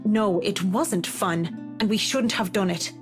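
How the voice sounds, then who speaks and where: A woman's voice: mid-range voice, takes it slowly, natural voice. A woman voicing an indignant, firm young woman from a visual novel, stern and scolding, with music behind. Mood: dismissive. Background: game music with guitar.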